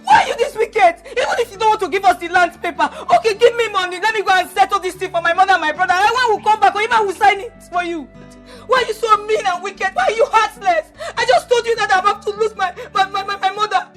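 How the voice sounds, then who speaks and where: Actress as a shrill, sobbing young woman, pleading and wailing. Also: fast, soft background music. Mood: anguished shouting. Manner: tearful, pleading, rising to shouting. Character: desperate young woman Agatha pleading with her uncle. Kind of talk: Nollywood-style drama acting.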